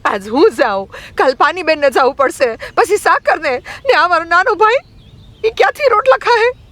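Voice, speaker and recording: A woman voicing a fierce, tearful thirteen-year-old village girl in an audiobook drama, with a rural Gujarati accent and loud, indignant questioning. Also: extremely high pitch, expressive, dry recording. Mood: indignant, pleading. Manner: loud, indignant, rapid-fire rhetorical questions.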